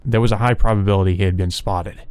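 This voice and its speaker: A man with a lowish voice narrates a visual novel. He reads the story text aloud as a let's player. The sound is dry, without room echo. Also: fairly even intonation, fast talker, darkish tone, clean tone.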